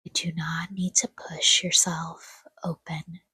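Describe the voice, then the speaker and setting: A woman softly guiding a sleep meditation in a hushed, breathy whisper, with gentle, soothing delivery in short phrases and long pauses. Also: fairly low pitch, level tone, no room sound, rough recording. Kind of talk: guided sleep meditation, scripted, soft-spoken.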